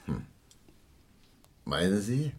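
An actor playing a sly, stubborn witness in a 1970s German radio crime drama, wary and emphatic with a sardonic edge and a husky voice. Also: male voice, deep voice, wildly swooping pitch, extremely slow, darkish tone, slight background noise, exaggerated voice. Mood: suspicious, knowing. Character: sly, stubborn male witness profiting from hush money.

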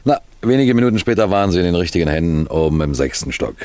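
A man playing a composed, clever police detective, recounting a case in measured, precise radio mystery narration. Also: low-pitched, expressive, darkish tone. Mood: explaining, precise. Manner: measured, precise, deliberate storytelling.

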